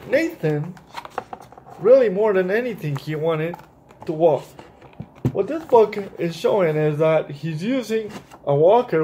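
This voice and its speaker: Male children's storytime host: notably high voice, unhurried read-aloud delivery, speaking straight to kids. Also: warm tone. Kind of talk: animated read-aloud of a picture book with explanatory asides.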